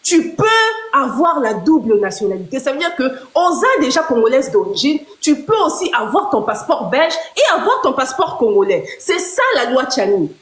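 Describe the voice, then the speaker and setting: A woman's voice: sits high, very animated, moderate pace. A woman hosting a live debate, firmly cutting in and explaining her point step by step. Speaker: live show host. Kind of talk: moderating a heated debate. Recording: roomy echo.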